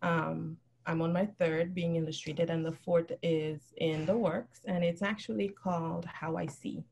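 A woman talking earnestly and reflectively as a guest on a casual podcast, pausing often. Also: fairly low pitch, fairly even intonation, creaky, a little mellow, a little hiss or hum. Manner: earnest, reflective, frequent ums. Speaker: children's book author, guest.

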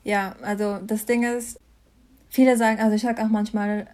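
A woman's voice: medium pitch, flat delivery, moderate pace, darkish tone. A young woman from Germany, talking casually about her own experiences as an interview guest. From Mannheim, Germany. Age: young adult. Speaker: interview guest, science student. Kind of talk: casual conversational interview, personal account.

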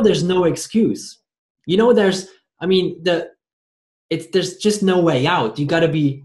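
A man hosting a conversational interview, rambling along and often stumbling over and repeating his words. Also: a little high. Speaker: podcast host interviewing a guest. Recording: roomy, echoey sound.

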